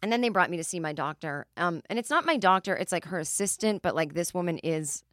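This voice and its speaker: Dry, low-voiced woman in her forties telling stories. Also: lively intonation, quick pace, a bit bright, no room sound. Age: 40s. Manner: chatty, exasperated, heavy use of "like". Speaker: comedian podcast host. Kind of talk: casual comedic storytelling monologue.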